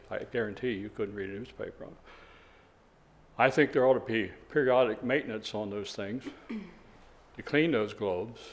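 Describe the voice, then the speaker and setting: An older man, a local resident, giving a rambling, folksy public comment at a town council meeting. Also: fairly low pitch. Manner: folksy, rambling, chuckling asides.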